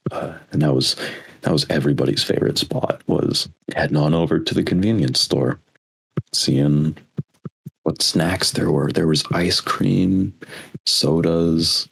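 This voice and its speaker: A man's voice: very deep voice, measured pace, vocal fry, husky, poor audio. A young American man in his twenties co-hosting a podcast, chatting casually in a rambling, reflective way. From United States. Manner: rambling, reflective, with frequent restarts. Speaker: podcast co-host. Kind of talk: casual chat.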